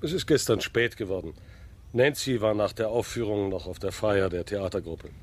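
Actor as low-voiced, calm farm owner. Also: male voice, moderate pace, lightly acted. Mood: calm, friendly. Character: respected, kindly, self-assured Kansas farm owner, a family man. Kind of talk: naturalistic radio drama dialogue.

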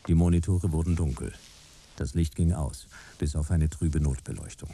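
A man's voice: extremely low pitch, normal speed, gravelly, dark tone, breathy edge, exaggerated voice. A man playing a laconic, tough private detective in a sci-fi radio drama, with a dry, terse manner. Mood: terse narrating. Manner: dry, terse, hard-boiled. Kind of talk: radio drama dialogue and first-person narration.

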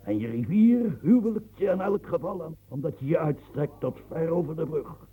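An actor playing an aging fortress-building marshal in a radio play, declaiming grandly and stammering when moved. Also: male voice, fairly high pitch, expressive, moderate pace, low-quality recording. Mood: brooding, declaiming. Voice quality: gravelly, dark and husky. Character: aging royal fortress engineer, proud, brooding. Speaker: military engineer marshal. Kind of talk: radio drama acting.

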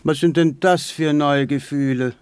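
A man's voice: on the high side, steady tone, moderate pace, a little mellow, smooth, no room sound, natural voice. A man acting in a radio play as a brusque, scornful man who despises sentimentality, firing curt, probing questions.

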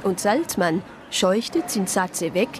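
An actress playing a composed Protestant deaconess, narrating and speaking in a radio crime drama. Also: female voice, mid-range voice, big pitch swings, slow pace, background noise. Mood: sober narrating. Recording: music behind the voice.

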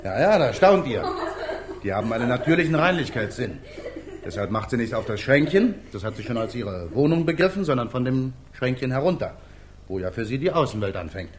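An actor as a whimsical male painter in an old radio comedy. His mid-pitched voice moves in lively swings, coaxing and amused, with repeated little phrases. The vintage recording carries a faint noise. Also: brisk, lightly acted. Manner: coaxing, stammering repetitions, amused. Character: eccentric male painter, playfully smitten with a monkey. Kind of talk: 1950s German radio comedy acting.

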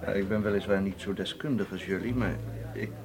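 A man's voice: on the low side, steady tone, brisk, crowd noise, lightly acted. A man playing an investigator's plain-spoken, sceptical companion in a radio drama, talking informally. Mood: casual, modest. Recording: radio play with guitar music underneath.

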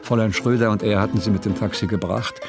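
A man playing a sober, observant narrator in a radio drama, measured and understated in his scripted storytelling. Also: lowish voice, fairly even intonation, close to an everyday voice. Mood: gentle, observant. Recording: radio drama with music bed.

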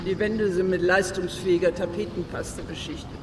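In a noisy, reverberant space, a high-voiced male letting agent played by an actor talks from a distance. He delivers matter-of-fact sales patter for a radio play. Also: steady tone, normal speed, natural voice. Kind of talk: German radio drama acting. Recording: distant, reverberant hall, loud city noise.

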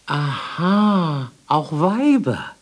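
Radio drama: scornful archivist, gravelly, muttering, slow with swinging pitch. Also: male voice, big pitch swings, exaggerated voice. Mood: muttering, grumbling. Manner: clipped, muttering to self.

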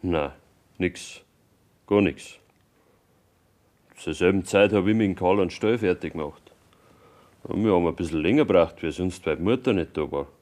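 Male actor as young farmer's son, Bavarian accent, low pitch, reticent, plain-spoken, 1970s radio play. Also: moderate pace, pauses often, a little mellow, natural voice. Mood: guarded.

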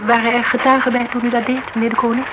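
A woman's voice: a little high, flat delivery, rapid-fire, close to an everyday voice. An actress playing a cool, shrewd woman in a Dutch radio drama, speaking in a dry, businesslike, probing way. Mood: formal, probing. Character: cool, shrewd woman posing as insurance investigator. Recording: noisy old radio drama recording.

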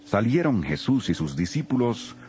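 A man's voice: on the low side, big pitch swings, moderate pace. A man narrating a dramatized Bible in a solemn storytelling style. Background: soft music.

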